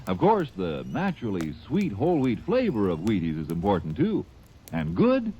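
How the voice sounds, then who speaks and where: A man's voice: mid-range voice, wildly swooping pitch, normal speed, dark tone, a little hiss or hum. A man reading a vintage radio commercial, chipper and salesy. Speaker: radio advertising announcer.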